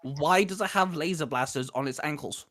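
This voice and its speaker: A man with a slightly high-pitched voice hosts a casual chat video. He speaks emphatically and with enthusiasm, like someone walking viewers through a favourites list. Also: moderate pace, a crowd in the background. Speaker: video host. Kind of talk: casual chat about a top six list.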